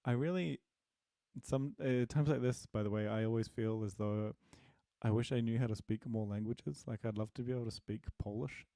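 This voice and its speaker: A man's voice: medium pitch, normal speed, warm tone, dry recording. A man teaching programming on a casual live stream, answering questions and thinking aloud with many fillers and restarts, friendly and self-deprecating. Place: home desk, dim room.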